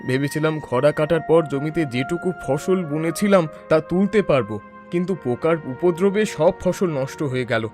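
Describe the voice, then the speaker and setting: A man's voice: on the high side, normal speed, over music, lightly acted. A man voicing an earnest, talkative young village man in a cartoon drama. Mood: worried. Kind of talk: cartoon voice acting.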